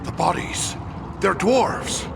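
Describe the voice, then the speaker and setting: An actor playing an alert, blunt adventuring warrior in a fantasy game. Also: male voice, sits high, takes it slowly, gravelly, noisy background, exaggerated voice. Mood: wary. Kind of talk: scripted fantasy game dialogue.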